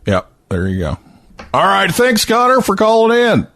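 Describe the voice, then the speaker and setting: A man's voice: high-pitched, wildly swooping pitch, moderate pace, fairly bright tone, slight background noise. A man hosting a call-in radio show in a casual, folksy interview style, often repeating words as he goes.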